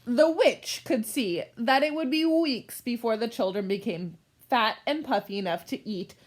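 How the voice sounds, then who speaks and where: A woman as an exasperated, high-voiced fairy-tale storyteller. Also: normal speed, close to an everyday voice. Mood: put-upon. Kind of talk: comic storybook read-aloud.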